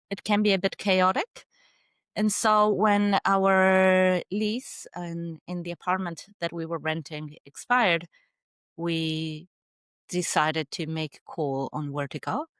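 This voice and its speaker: A woman, telling her story as a podcast guest in a measured, thoughtful way. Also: fairly low pitch, fairly bright tone, smooth, dry recording, studio quality. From Argentina. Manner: measured and thoughtful, with some fillers and light laughs. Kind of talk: casual interview storytelling.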